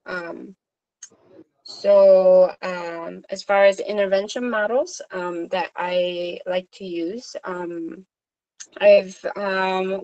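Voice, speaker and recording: Slow, hesitant woman. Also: mid-range voice, darkish tone, poor audio. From Korea. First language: Korean. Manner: hesitant, many fillers and restarts. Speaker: social worker. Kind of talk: supervision meeting conversation.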